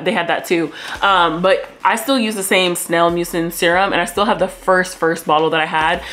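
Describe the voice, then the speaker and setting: A woman's voice: fairly low pitch, a bit bright. Chatty young woman in a hotel room. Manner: chatty, filler-heavy, addresses viewers as y'all. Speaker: vlogger. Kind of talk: casual haul chat to camera.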